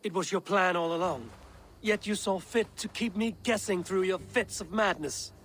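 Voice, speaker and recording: A defiant, steadfast Viking warrior from a video game. The voice is somewhat high, firm and solemn. Faint ambience sits behind it. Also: male voice, moderate pace, fairly bright tone, a little hiss or hum. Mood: resolute, defiant. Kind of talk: dramatic video game acting.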